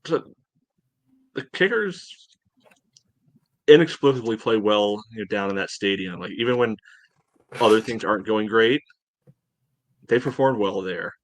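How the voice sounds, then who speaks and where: A man co-hosting a sports podcast, giving casual soccer analysis in a measured, think-aloud way. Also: on the low side, expressive, no room sound.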